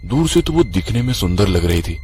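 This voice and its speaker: A low-pitched man tells a scripted horror tale in the first person as narrator. Faint ambient noise runs behind his deep voice. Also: very animated, brisk, a little mellow. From Maharashtra, India.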